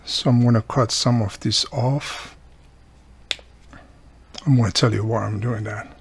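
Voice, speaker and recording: A man's voice: medium pitch, steady tone, measured pace, gravelly, breathy edge. A man giving a casual hands-on repair demonstration, explaining each step plainly as he works. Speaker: radio repairer and video host.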